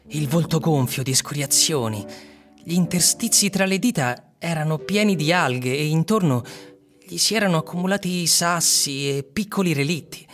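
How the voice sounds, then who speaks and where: A man's voice: a little high, close to an everyday voice. An actor playing a man who solemnly reads an eerie literary tale aloud in a radio drama. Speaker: narrator and actor. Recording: music under the voice.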